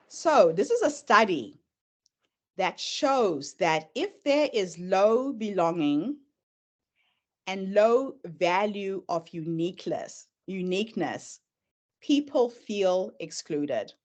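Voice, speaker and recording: A middle-aged woman whose first language is Shona, speaking with a Zimbabwean accent tinged with American, giving an earnest presentation and sharing her own story as she moves through slides. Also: medium pitch. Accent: Zimbabwean, mixed with American. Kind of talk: presentation talk.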